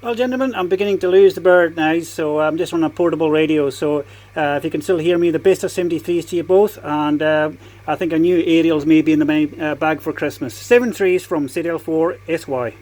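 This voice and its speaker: A man's voice: on the high side, a little fast, darkish tone. A man with a New Zealand accent making friendly, informal amateur radio calls with call signs and phonetic spelling.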